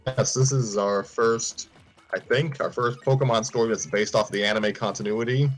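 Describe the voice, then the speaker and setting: A man's voice: medium pitch, fairly even intonation, normal speed, faint music behind. A man hosting a casual livestream, rambling and correcting himself with chuckling asides. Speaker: host.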